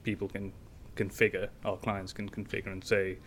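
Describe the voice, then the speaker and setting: Even-toned man with a lowish voice. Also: moderate pace, a little hiss or hum. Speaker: guest, tech company founder. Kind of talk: casual interview explanation.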